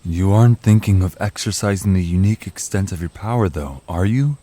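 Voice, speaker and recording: A deep, dark-toned man's voice for a cautious guardian spirit in an audio drama. He is courteous and formal, choosing words with care as he questions. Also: a little fast. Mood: doubtful, courteous. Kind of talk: scripted audio drama acting.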